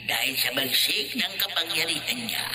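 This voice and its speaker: Echoing, foreboding village healer. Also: female voice, sits low, animated, normal speed. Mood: ominous. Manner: dramatic, foreboding. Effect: echoing flashback reverb. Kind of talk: Filipino horror radio drama acting. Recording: echoing reverb over loud music.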